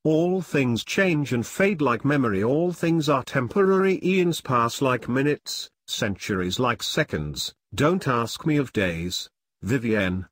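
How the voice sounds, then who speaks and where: A man's voice: mid-range voice, lively intonation, takes it slowly, dry recording, clean studio sound, voice pushed up. A man voicing the Reaper, a sad immortal lord of the dead, declaiming mournfully in a dramatic podcast story. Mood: grave.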